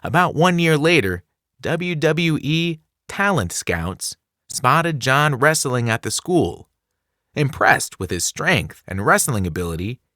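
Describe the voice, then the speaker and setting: Man, children's audiobook narration, animated pitch, unhurried pace, expressive, clearly enunciated. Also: no room sound, clean studio sound. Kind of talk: scripted children's non-fiction read-aloud.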